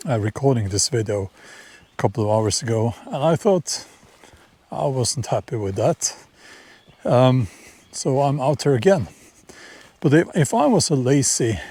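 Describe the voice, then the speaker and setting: A middle-aged man with a Norwegian accent, talking casually to camera outdoors in a vlog, halting and repeating words as he shares opinions. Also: mid-range voice, darkish tone. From Norway. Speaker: vlogger. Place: outdoors in nature, near horses.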